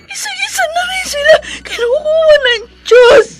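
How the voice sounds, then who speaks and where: An actress playing an emotional adopted daughter in a Filipino radio drama, with a Filipino accent. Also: female voice, very high voice, lively intonation, a little slow. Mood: anguished, tearful. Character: grief-stricken adopted daughter, anguished and bitter at God. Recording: music under the voice.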